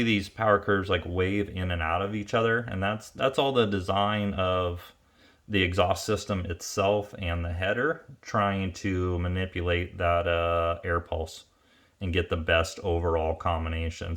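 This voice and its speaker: A man giving an informal, unscripted technical review as a tuner and presenter, speaking haltingly and pausing mid-phrase to think. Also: sits low, a bit bright. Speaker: ATV engine tuner and presenter.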